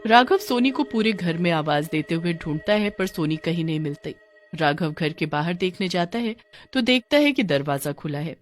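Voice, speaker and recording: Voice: mid-range voice, expressive, normal speed, faint music behind. A narrator reading a scripted horror story in a dramatic storytelling style.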